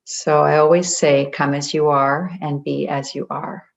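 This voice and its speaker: A meditation guide leading a group in a gentle, deliberate way, leaving long pauses between phrases. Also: medium pitch, level tone, vocal fry. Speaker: meditation guide, spiritual coach. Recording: dull, muffled lo-fi audio.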